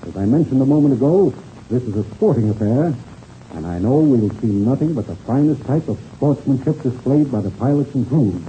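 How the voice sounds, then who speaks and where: An actor playing a formal, courteous race official in a 1930s radio serial, speaking in deliberate speeches. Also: male voice, medium pitch, animated, close to an everyday voice. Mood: formal, firm. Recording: muffled old radio recording, faint noise.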